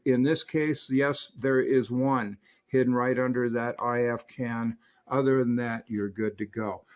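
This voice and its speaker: Man, medium-pitched voice, review host, technical demonstration, measured step-by-step explanation. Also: fairly even intonation. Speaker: host of a radio equipment review channel.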